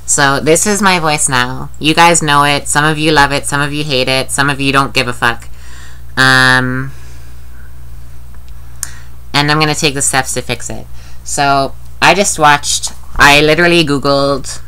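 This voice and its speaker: Nasal woman with a very low voice. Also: a little slow, sharp tone, smooth. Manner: rambling, candid, swears casually. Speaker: vlogger. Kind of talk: casual video diary.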